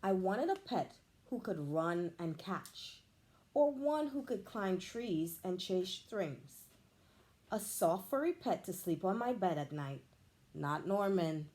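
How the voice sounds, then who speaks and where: A woman, a teacher, reading a children's picture book aloud with expressive, animated storytelling. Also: lowish voice, takes it slowly.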